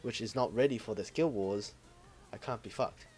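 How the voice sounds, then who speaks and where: A man co-hosting a casual gaming podcast, relaxed and offhand, swearing casually and trailing off while he hunts for words. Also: lowish voice, normal speed, slightly rough, soft background music. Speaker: podcast co-host.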